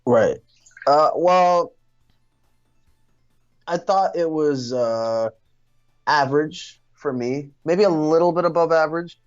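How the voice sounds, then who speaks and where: A man chatting casually as co-host of a movie discussion, hesitant, with many pauses and fillers. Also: on the high side, no echo. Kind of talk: casual chat. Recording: dull lo-fi audio, music bed. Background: music.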